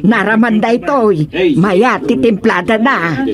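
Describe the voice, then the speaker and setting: A woman's voice: a little high, unhurried, a crowd in the background, close to an everyday voice. An actress with an Ilocano accent playing a chatty middle-aged woman who sells barbecue, calling out cheerfully to customers. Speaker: radio drama actress. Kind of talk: radio drama acting.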